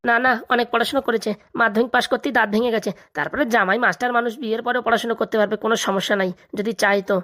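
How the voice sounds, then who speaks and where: A woman voicing a self-important middle-aged village politician and father in a comedy cartoon, brisk and bossy. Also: a little high, steady tone, a bit bright, close to an everyday voice. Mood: decisive, proud. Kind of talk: Bengali comedy cartoon dub.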